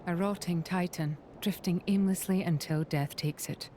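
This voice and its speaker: A woman playing a composed, courteous noblewoman officer in a video game, speaking formally and with measured dignity. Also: lowish voice, fairly even intonation, slight background noise, close to an everyday voice. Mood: grave, composed. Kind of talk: dramatic video game cutscene acting. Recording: loud orchestral music behind. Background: game soundtrack music.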